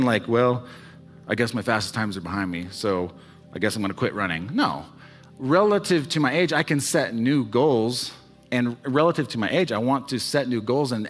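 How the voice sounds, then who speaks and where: A man in his early forties delivers a church sermon with a low-pitched voice. He preaches in a conversational way, restarting phrases and checking in with the listeners often. Soft music plays faintly underneath. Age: 40s. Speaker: pastor. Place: church sanctuary.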